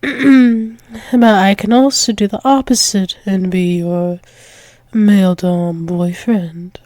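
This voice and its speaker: A woman's voice: mid-range voice, fairly even intonation, takes it slowly, a little mellow, dry recording, clean studio sound, lightly acted. A woman voicing a doting, dominant dragon-wolf demoness lover in an intimate ASMR roleplay, teasing with pet names and dropping into whispers. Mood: playful, coy.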